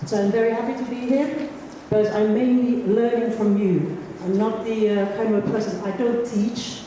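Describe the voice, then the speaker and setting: A woman's voice: on the high side, fairly even intonation, moderate pace, dark tone, slight background noise. Finnish-born woman in an echoey hall. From Finland. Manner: chatty, self-deprecating, with fillers. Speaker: panelist. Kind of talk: conference panel self-introduction. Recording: echoey hall, distant mic.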